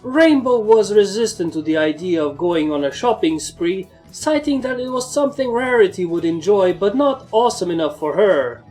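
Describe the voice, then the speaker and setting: Female narrator, soft mellow tone, first-person scripted storytelling, faint music behind. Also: mid-range voice, lively intonation, moderate pace, hardly any pauses, rough recording. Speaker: fan fiction narrator.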